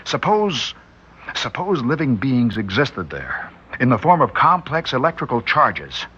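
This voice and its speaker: A man's voice: mid-range voice, sing-song, unhurried, sharp tone, slightly rough, highs cut off, full character voice. An actor playing an earnest, worried male scientist in an old radio drama. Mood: grave. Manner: earnest, speculative, urgent. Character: scientist doctor, grave, warning, male. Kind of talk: 1960s radio drama acting.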